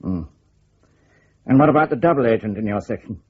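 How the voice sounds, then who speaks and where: An actor playing a stern, exasperated security officer in a spy radio drama, speaking in a formal, reproving way. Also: male voice, medium pitch, animated, fairly quick, slightly rough. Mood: insistent. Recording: dull lo-fi recording.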